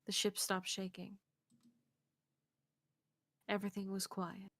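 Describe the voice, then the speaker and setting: Female co-host for a visual novel stream, reading narration expressively, medium pitch, dry room. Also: monotone, slow pace, a bit bright, no echo. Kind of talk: scripted narration read aloud on a game stream. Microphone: close streaming mic, dry sound.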